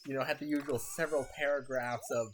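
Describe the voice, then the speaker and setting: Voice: mid-range voice, steady tone, fast talker. A streamer chatting casually while playing, rambling and laughing often, a little sick with a scratchy throat. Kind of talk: casual gameplay chat.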